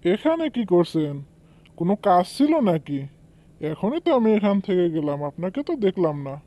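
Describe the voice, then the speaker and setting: A man's voice: high voice, sing-song, moderate pace, mellow, no echo. A man voicing a hardworking, dutiful farmer in a cartoon, speaking in an earnest, respectful way. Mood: puzzled. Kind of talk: amateur cartoon voice acting.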